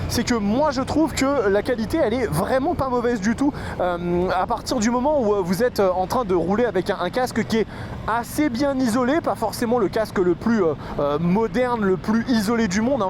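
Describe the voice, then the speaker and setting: A man reviewing motorcycle intercoms while riding, talking casually with many hesitant fillers into a helmet microphone. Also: sits high. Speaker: motorcycle vlogger reviewing gear. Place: outdoors on a motorcycle in traffic. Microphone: helmet-mounted microphone. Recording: noisy outdoor road recording.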